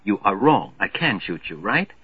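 Male narrator as a cool sneering tough sailor, mid pitch, dull dry audio. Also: moderate pace, breathy edge, no room sound, exaggerated voice. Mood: calm. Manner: calm, sneering tough-guy delivery. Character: cocky tough young sailor.

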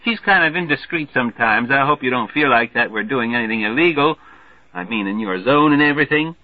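A man reading an audiobook, voicing a jovial, loud, backslapping fat American army major who laughs heartily. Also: medium pitch, lively intonation, brisk, no room sound, lightly acted. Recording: tinny phone-like band, dry.

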